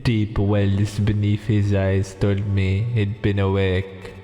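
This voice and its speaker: A man reading a visual novel aloud for a let's play, slow and dramatic, stopping to joke with a friend. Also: fairly low pitch, completely flat delivery, mellow, big-room sound, highs cut off, soft background music. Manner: reads slowly with pauses, breaks off to joke and laugh.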